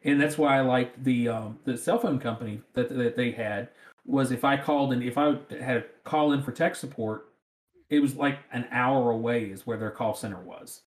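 A man's voice: mid-range voice, moderate pace. A man with a rural Southern American accent, chatting casually. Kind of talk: casual chat. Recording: roomy, echoing sound.

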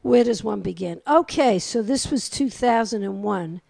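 A woman's voice: mid-range voice, animated, normal speed, creaky, a little mellow, no room sound. A woman with a Brooklyn accent co-hosting a community radio talk show, chatting and reading short poems of her own. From Brooklyn, New York. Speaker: co-host.

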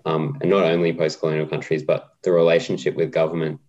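A man talking casually as an interview guest, relaxed and hesitant with frequent ums. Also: rumbling low, fast, highs cut off. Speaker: guest, co-op policy adviser.

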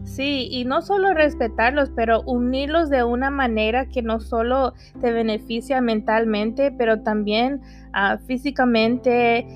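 Woman host, earnest, slow delivery, a touch high-pitched. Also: fairly even intonation, highs cut off, faint music behind. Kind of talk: casual interview talk.

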